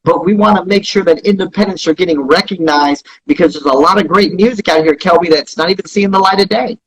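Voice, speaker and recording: A man's voice: sits high, fast talker, a bit bright, breathy edge, low-quality recording. A man giving promotional interview talk as a company founder, speaking earnestly and repeating points for emphasis. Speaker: guest, company founder promoting his service.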